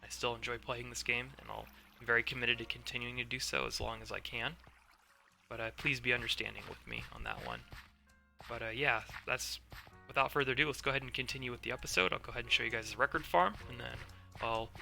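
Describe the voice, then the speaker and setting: A man with a hoarse voice from being under the weather, hosting a casual gameplay commentary and explaining things step by step with many fillers. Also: mid-range voice, fast, sharp tone. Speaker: let's play host. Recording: game music playing behind voice.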